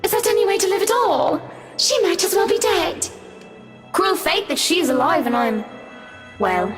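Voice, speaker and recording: A woman voicing a cruel, mocking two-headed female apparition in a horror game, taunting with drawn-out, venomous words. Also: very high-pitched, animated, soft background music. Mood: scornful. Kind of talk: horror visual novel voice acting.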